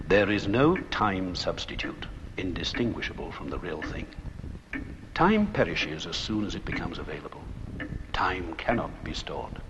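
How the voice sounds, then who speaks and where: Really deep guy narrating old 70s training film, solemn and rhetorical, lively intonation, dull noisy audio. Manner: solemn, rhetorical, measured emphasis. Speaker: narrator and presenter. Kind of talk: scripted corporate film narration. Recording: muffled, noisy 1970s 16mm film soundtrack.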